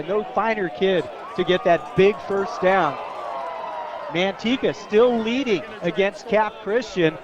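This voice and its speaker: This is an American man working as a color commentator on a live football broadcast. He talks in a high register, with a conversational, enthusiastic manner. Crowd noise from the stadium runs clearly behind him. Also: highs cut off.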